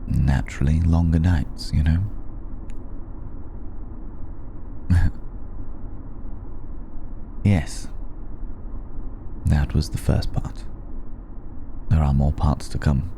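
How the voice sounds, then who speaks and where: A man playing a suave, worldly old vampire in an intimate ASMR roleplay, speaking with refined, wry hesitation and a gravelly voice. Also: steady tone, slow, pauses often, a little hiss or hum, heavily acted. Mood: confiding, wry. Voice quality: gravelly, dark low voice. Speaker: voice actor in audio roleplay.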